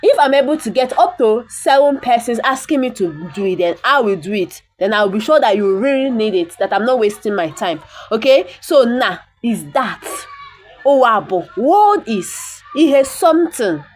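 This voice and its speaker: A woman's voice: fairly high pitch, animated, moderate pace, soft background music. A woman, an Igbo speaker, teaching the language by reading a bible verse and repeating words for pronunciation. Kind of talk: reading and explaining a bible text.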